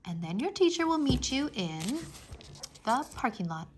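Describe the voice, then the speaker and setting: A woman voicing a firm, coaxing mother of a young girl in an expressive children's storybook reading. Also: sits high, a little slow, background noise, voice pushed up. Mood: reassuring. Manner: coaxing, emphatic. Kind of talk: children's storybook read-aloud.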